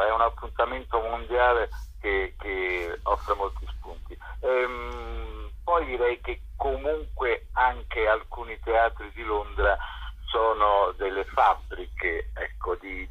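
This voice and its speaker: A man answering interview questions as a festival curator, unscripted and reflective, often pausing to search for words. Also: fairly low pitch, steady tone, slightly rough. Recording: dull, lo-fi sound.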